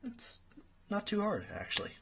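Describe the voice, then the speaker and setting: A man's voice: sits high, huge pitch swings, normal speed, vocal fry, noisy background, full character voice. A man playing a friendly young friend in an ASMR roleplay, patiently tutoring and thinking aloud in a hesitant way. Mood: encouraging, explaining. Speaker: study partner.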